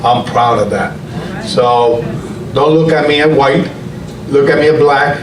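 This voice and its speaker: A city council member reading an honoree's resolution at a ceremony, halting and joking, with self-corrections along the way. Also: male voice, medium pitch. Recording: echoey hall, far mic, loud room noise.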